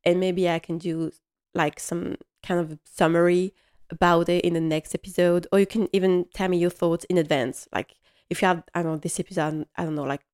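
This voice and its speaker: A woman's voice: lowish voice, steady tone, a little fast, a little mellow. Chatty woman speaking English as a second language. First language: not English. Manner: chatty and self-deprecating, with frequent fillers and restarts. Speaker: podcast host. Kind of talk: casual solo podcast monologue.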